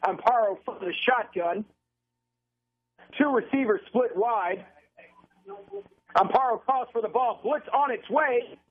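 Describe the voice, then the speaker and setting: A phone-thin radio feed carries an American man calling football live. His voice sits high for a man and has a rough, husky edge. He works as a play-by-play announcer, describing the action as it unfolds. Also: a little slow, pauses often. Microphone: live radio broadcast feed from stadium. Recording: narrow tinny phone-line radio broadcast sound.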